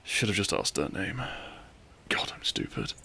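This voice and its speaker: A man playing a lovestruck, cheeky young bandit outlaw in an intimate romantic audio roleplay, soft and conversational, with a self-deprecating streak. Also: sits low, sing-song, unhurried, vocal fry, breathy edge, full character voice. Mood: wistful, downcast. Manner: soft, conversational, with sighs and chuckles.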